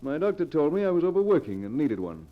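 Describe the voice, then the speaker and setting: An actor playing a genial, trusting, well-to-do American husband in 1940s radio style, courteous and affectionate. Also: male voice, fairly high pitch, sing-song, fast. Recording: dull old radio recording.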